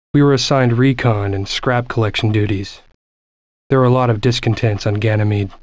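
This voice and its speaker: A man's voice: mid-range voice, darkish tone, dry recording, lightly acted. An actor playing a weary soldier, narrating his platoon's war in retrospect in a scripted game cutscene. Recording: dull lo-fi game audio.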